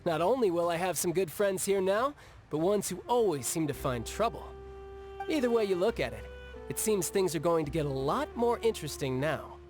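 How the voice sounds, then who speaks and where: Game rogue: cocky young man, high lively voice, music underneath. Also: normal speed. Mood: pleased, breezy. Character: breezy, confident young male debt collector, trouble-loving. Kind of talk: video game voice acting.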